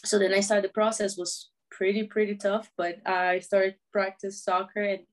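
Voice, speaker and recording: Woman, 20s, from Brazil, Portuguese native speaker, non-native English, casual interview guest, mid pitch. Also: flat delivery, moderate pace. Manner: non-native English with small grammar slips, laughs easily. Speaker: guest, professional footballer.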